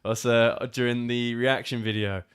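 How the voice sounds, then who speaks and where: A man's voice: fairly even intonation, normal speed, clear, resonant tone, dry recording, clean studio sound. Man hosting a chatty live stream. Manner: greets viewers by name, louder and higher when greeting. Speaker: co-host of a motorcycle channel live stream. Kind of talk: casual live-stream chat.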